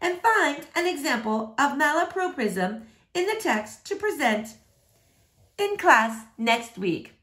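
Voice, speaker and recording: Woman acting out strict female English teacher, high pitch, swooping, sarcastic, pointed, storybook character. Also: sing-song, slow pace, a bit bright, heavily acted.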